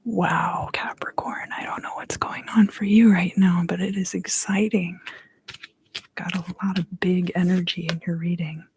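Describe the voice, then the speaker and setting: A woman softly whispering an ASMR tarot reading as a livestream host, soothing and unhurried, in a roomy recording. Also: fairly low pitch, level tone, a little hiss or hum.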